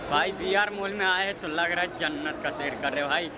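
A man's voice: a little high, a little fast, noisy background. A young man, a native Maithili speaker, chatting casually to his phone as he walks, narrating his vlog in an informal, repetitive way. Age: young adult. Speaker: vlogger. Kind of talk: casual vlog chat to camera. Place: outdoors by roads and inside a large busy mall. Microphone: phone at arm's length. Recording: loud street and mall ambience, handheld. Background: loud urban ambience and vehicles.

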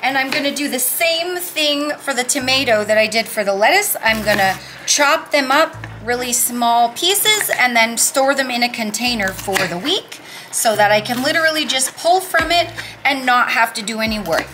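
A woman's voice: on the high side, lively intonation, moderate pace, hardly any pauses, over music. A woman in her thirties chatting casually as she walks through her meal prep in a home kitchen, upbeat with cutesy words. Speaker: vlogger.

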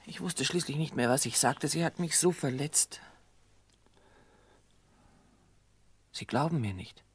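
An actress playing a guilt-ridden, bitter grown daughter in a radio play, with a low, husky, subdued voice. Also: female voice, moderate pace. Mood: distressed, bitter. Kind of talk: German radio play acting.

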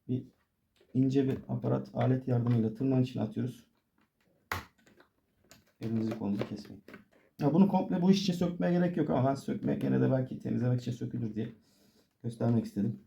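A man's voice: mid-range voice, a little fast, gravelly, long pauses, warm tone, lo-fi sound. A man giving a casual hands-on tutorial, explaining informally step by step as he works. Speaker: DIY tutorial presenter.

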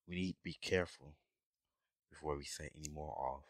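A man voicing a gruff, protective dragon adventurer in an audio roleplay, talking urgently and with exasperation to his companion. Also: very deep voice, fairly even intonation, a little fast, no echo, studio quality. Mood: cautious. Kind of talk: scripted ASMR audio roleplay acting.

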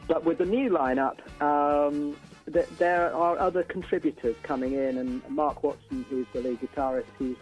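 A man's voice: on the high side, fairly even intonation, normal speed, faint music behind, rough recording. A man chatting casually as an interview guest, a musician who often repeats words as he feels his way. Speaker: interview guest, band singer and songwriter. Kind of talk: casual chat.

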